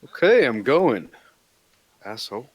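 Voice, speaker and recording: A man's voice: medium pitch, big pitch swings, measured pace, no room sound. A man reading the part of a put-upon, grumbling man in a script table read. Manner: grumbling, sarcastic.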